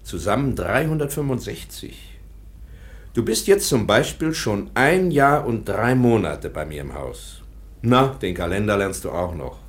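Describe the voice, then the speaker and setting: A patient professor, lively and low. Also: male voice, unhurried. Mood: gentle, patient. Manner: gentle, explaining simply as to a child. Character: patient, kindly male professor and teacher. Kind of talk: German radio drama acting.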